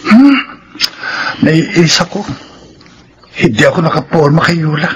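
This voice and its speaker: A man's voice: fairly high pitch, animated, measured pace, lo-fi sound, a little hiss or hum. An actor with a Filipino accent playing a shy, nervous, lovesick young man who hesitates and stammers, in a radio drama. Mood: glum, reluctant.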